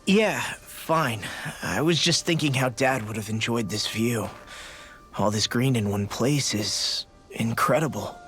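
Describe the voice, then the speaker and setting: A man's voice: mid-range voice, a bit bright, natural voice. An actor playing a brash, hot-headed young male fighter in a video game, with an American accent and cocky, slangy delivery. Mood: wistful. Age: young adult. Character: brash, hot-headed young male brawler, son following father's footsteps. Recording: studio voice over game music.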